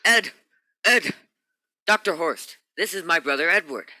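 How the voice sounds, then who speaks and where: A man acting a bright, forceful rocket captain. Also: on the high side, expressive, unhurried, full character voice. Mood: amazed. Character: commanding male Navy rocket captain, middle-aged, emotional. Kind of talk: radio play acting.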